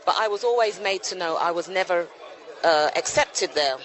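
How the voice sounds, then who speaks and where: Calm, firm UK-born spokesperson, recorded outdoors over a loud crowd. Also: medium pitch, moderate pace, poor audio. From United Kingdom. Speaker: association representative. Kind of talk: interview answer.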